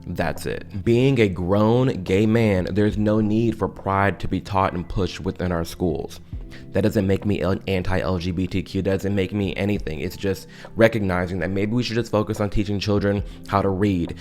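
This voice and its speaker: A man's voice: sits low, steady tone, normal speed, darkish tone. A young man giving emphatic, opinionated commentary straight to his viewers. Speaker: video commentator. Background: music.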